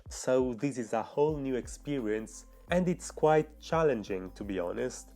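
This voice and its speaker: A man's voice: mid-range voice, darkish tone. A man with an Italian accent, a non-native English speaker, narrating his own writing vlog in an earnest, chatty way. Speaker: writing vlogger. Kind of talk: scripted vlog voice-over.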